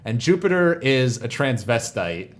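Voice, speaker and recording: A man's voice: medium pitch, big pitch swings, a little slow. A man talking casually and enthusiastically as a podcast panelist, explaining fan favorites in detail. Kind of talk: casual fan chat about anime parodies.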